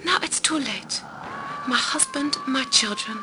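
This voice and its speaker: A woman playing a dying, grieving scientist in a video game audio log, breathy and faltering, on a noisy recorder. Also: sits high, lively intonation, measured pace. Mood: anguished, regretful. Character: dying poisoned woman scientist, resigned and grieving, on Mars base.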